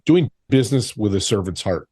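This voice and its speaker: A man's voice: on the low side, lively intonation, fairly quick, darkish tone, slightly rough, no room sound. A man answering interview questions about his work, plain-spoken and often talking in short lists. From United States. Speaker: podcast host being interviewed.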